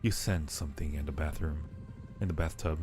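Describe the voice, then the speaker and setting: A man's voice: rumbling low, fairly even intonation, a little fast, a little mellow. A man livestreaming a horror game, chatting playfully with viewers, muttering to himself and reading on-screen text aloud. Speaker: live streamer. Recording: music playing behind the voice. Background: game music.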